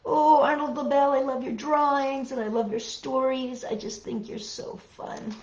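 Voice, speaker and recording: Woman, children's storytime host, gentle and chatty, affectionate, roomy sound, dull lo-fi recording. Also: high voice, steady tone, normal speed, a little hiss or hum. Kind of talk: storybook read-aloud with chatty asides to children.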